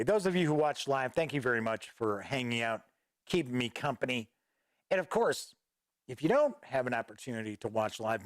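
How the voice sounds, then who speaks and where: A man's voice: medium pitch, no room sound, studio quality. Rambling man hosting a livestream. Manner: rambling, reads viewer chat aloud and responds offhandedly. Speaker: host of a gaming news livestream. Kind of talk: casual livestream chat. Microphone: studio microphone at a desk.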